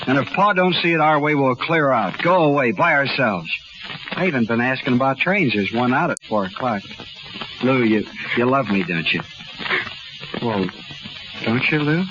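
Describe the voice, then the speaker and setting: An actor playing an earnest, boyish young farm lad in love, eager and ungrammatical, in 1930s radio style. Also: male voice, mid-range voice, lively intonation, normal speed, long pauses, lightly acted. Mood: earnest, pleading. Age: young adult. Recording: noisy, muffled 1930s radio recording.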